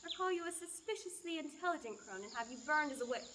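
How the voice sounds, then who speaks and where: A woman's voice: high-pitched, fast talker, background noise. A young woman playing a dry, sarcastic peasant daughter in a student radio play. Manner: dry, deadpan morbid humor.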